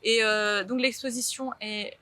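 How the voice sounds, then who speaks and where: A woman's voice: a little high, fairly even intonation, a little slow, bright, forceful tone, no room sound. Young breton painter lady, lots of euhs and restarts, talks about her art loosely. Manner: hesitant, many fillers and restarts. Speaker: painter, interview guest. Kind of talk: casual interview chat.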